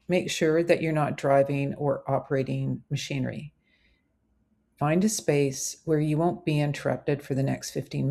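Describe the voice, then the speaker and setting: A woman narrating a scripted guided visualization as a meditation host, in measured, deliberate phrases. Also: low voice, gravelly, warm tone.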